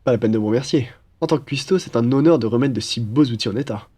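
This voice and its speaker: A man's voice: mid-range voice, quick pace, mellow, husky, natural voice. A man playing a playful, chatty young roommate. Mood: friendly, joking. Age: young adult. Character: cheerful, teasing young male student roommate, aspiring chef. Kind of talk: ASMR audio roleplay, casual one-sided chat.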